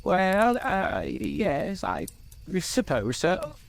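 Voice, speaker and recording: A man's voice: high-pitched, big pitch swings, unhurried, voice pushed up. A man voicing a stubborn, suspicious hobbit in a storybook, with a stammering, defensive, insistent manner. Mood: flustered, impatient. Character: stubborn, suspicious hobbit clinging to his treasure.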